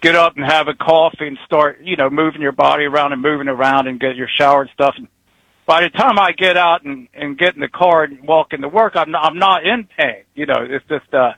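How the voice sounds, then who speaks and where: A man in his early sixties rambles on in a folksy, easygoing way as a retired trucker calling in. His voice sits a little high and comes across bright and forceful. The sound is dry and close. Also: fairly quick, dry recording, low-quality recording. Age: 60s. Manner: rambling, folksy, chuckles between thoughts. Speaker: retired truck driver caller. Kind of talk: casual phone-in chat.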